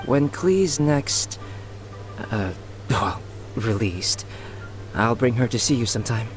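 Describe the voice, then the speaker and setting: A man voicing a polite, scholarly young knight in a video game, formal and measured in his courtesy. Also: mid-range voice, expressive, faint music behind. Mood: mild, formal.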